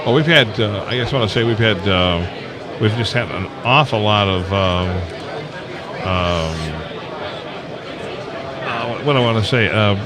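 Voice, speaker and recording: A man's voice: lowish voice, a little slow, pauses often. A man with a Tennessee Southern accent hosting a casual live interview, folksy and rambling as he searches for his words. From Tennessee, United States. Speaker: radio show host. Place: busy convention event. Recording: busy convention crowd noise behind. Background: crowd chatter.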